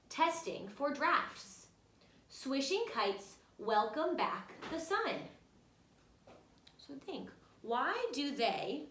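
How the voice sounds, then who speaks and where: A woman reads a children's picture book aloud in an echoey room. Her voice is fairly high and swings up and down in an animated way. She speaks as a teacher guiding young listeners along. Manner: expressive, instructive, addresses young listeners directly. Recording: roomy, echoey room sound.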